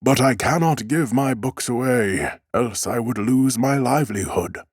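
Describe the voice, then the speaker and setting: A man's voice: mid-range voice, expressive, measured pace, husky, dry recording, studio quality. A man voicing a timid, humble bookseller in an audiobook, speaking with hesitant, very polite deference. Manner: hesitant, bowing politeness, stammering. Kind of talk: audiobook character acting.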